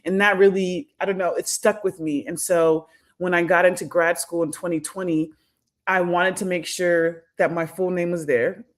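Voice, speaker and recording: Thoughtful woman guest, soft-spoken. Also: lowish voice, steady tone, normal speed. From United States. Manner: thoughtful, many fillers and restarts. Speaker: podcast guest, academic. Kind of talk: reflective conversational interview.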